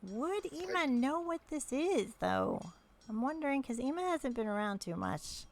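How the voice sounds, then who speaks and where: A woman running a tabletop game as game master, relaxed and laughing often, slowing down to narrate the scenes. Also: high-pitched. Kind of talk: running a tabletop game session over voice chat.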